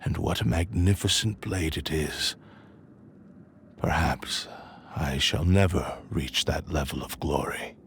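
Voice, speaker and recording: An actor voicing an ancient male sword spirit who speaks slowly and gravely, with weary sighs. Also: very deep voice, fairly bright tone, slight background noise, exaggerated voice. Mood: weary grief. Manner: slow, weary and grave, sighing.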